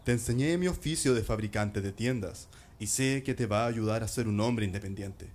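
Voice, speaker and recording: A man's voice: fairly low pitch, normal speed, a little mellow, natural voice. Man acting as a loving, solemn father. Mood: tender, solemn. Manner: earnest, tender. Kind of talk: radio drama acting.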